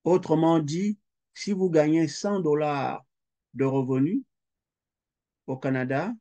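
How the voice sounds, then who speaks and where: Slow, mellow lecturer. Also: male voice, on the high side. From Quebec. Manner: slow, methodical, repeats figures aloud as he writes. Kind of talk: recorded tax lecture working through calculations.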